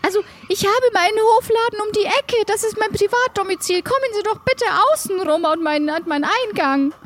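A woman's voice: very high-pitched, a little fast, slight background noise. A woman playing a polite, wordy farm-shop keeper in an improvised audio play, friendly but aggrieved and often hesitant. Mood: polite, businesslike.

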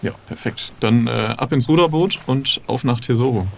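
Man, player, casual improvised role-play, hesitant, polite, creaky voice, mid pitch, light ambient noise. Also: moderate pace. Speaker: player in an improvised adventure game.

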